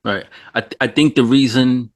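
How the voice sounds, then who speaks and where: A man's voice: medium pitch, steady tone, normal speed, no echo. A man from New York City hosting a casual interview, talking loosely, swearing and laughing often. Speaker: host.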